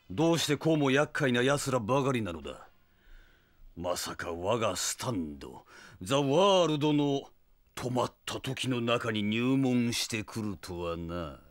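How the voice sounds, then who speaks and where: Arrogant sadistic anime villain, slow pompous gloating delivery, drenched in reverb. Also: male voice, mid-range voice. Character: arrogant, sadistic male villain who stops time.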